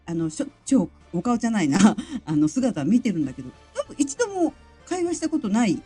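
A lively, chatty woman with swooping intonation and a soft, slightly husky voice. She talks quickly and casually, as on a group audio stream, with faint music underneath. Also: fairly high pitch. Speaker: guest on a live audio stream. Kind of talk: casual group chat and announcement.

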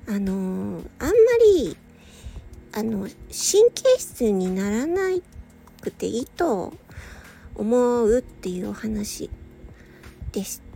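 A woman's voice: mid-range voice, very animated, slow, gravelly, long pauses. A woman chatting casually to her listeners, hesitant and meandering, often giggly, sounding tired with slightly slurred speech. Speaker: audio channel host and hobby voice performer. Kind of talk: casual solo chat to listeners. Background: soft background music or ambience.

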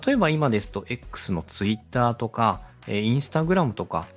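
A man's voice: medium pitch, very animated, unhurried, faint music behind. A man giving a polite, step-by-step explanatory talk as a podcast host and instructor. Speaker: podcast host and radio director teaching podcast making.

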